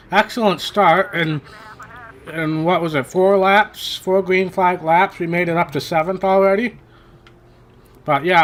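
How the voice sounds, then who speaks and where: A man's voice: fairly high pitch, a bit bright, slight background noise. A man hosting a racing game playthrough in casual, rambling commentary, his voice worn from hours of talking. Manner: rambling, self-correcting, chatty. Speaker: gaming channel host.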